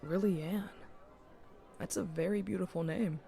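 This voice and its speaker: A man's voice: high-pitched, steady tone, a little slow, vocal fry, warm tone, faint music behind. A man playing a loyal, teasing young nobleman in an audio drama, eager and playful in manner. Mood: teasing, curious.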